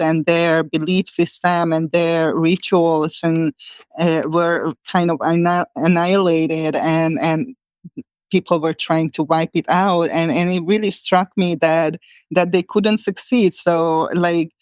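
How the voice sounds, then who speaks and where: A woman's voice: lowish voice, clear, resonant tone, no echo. A woman in her forties from Hungary, speaking with a Hungarian accent, answering interview questions as a shamanic healer in a thoughtful way full of fillers and restarts.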